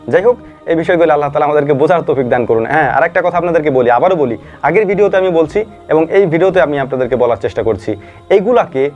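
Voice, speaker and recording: A man's voice: a little high, a little mellow. A man giving earnest, informal explanatory commentary, pressing his points with emphatic repetition. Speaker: video narrator and commentator. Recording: dull lo-fi voice track over music. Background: music.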